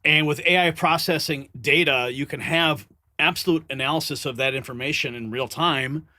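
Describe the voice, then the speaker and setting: A man's voice: fairly high pitch, normal speed, bright, forceful tone, no room sound. A man hosting a casual political and finance interview. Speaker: interview host.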